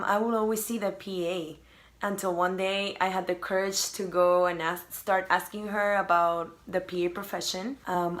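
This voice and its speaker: A woman from Peru, answering interview questions in a thoughtful, slightly halting way. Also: mid-range voice, fairly bright tone.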